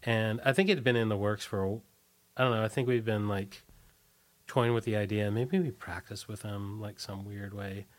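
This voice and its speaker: A man with a fairly low voice talks as a guest in a relaxed interview. He recollects in a loose, rambling way, with halting phrasing and frequent false starts. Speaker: interview guest, punk band singer. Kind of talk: casual conversational interview, recollecting.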